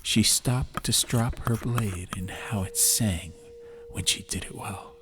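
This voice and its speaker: A man reading a story as an atmospheric audiobook narrator, in measured short phrases with pauses. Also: lowish voice, fairly bright tone. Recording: clear background ambient noise. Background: ambience.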